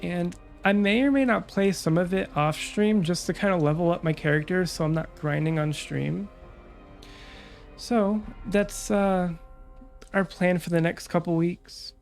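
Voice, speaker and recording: A young woman VTuber chatting calmly about her stream plans, her nose stuffed up from a cold, with lots of fillers. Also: lowish voice, unhurried, soft background music. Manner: calm, relaxed, rambling with many fillers. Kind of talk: casual stream chat.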